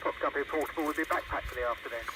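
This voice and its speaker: A man's voice: on the high side, rapid-fire. A man chatting casually as a portable operator, heard over CB radio. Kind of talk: casual radio contact chat. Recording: very noisy, distant CB radio reception.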